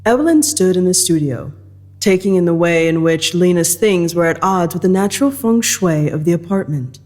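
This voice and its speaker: A woman reading scripted dramatic fiction as narrator, in a measured, deliberate manner. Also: fairly low pitch, expressive, a little mellow.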